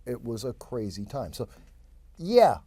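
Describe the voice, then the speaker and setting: A man in his fifties from New York, a guest telling his life story in a lively, rambling way full of quick asides. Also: medium pitch. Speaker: interview guest. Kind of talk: casual interview storytelling.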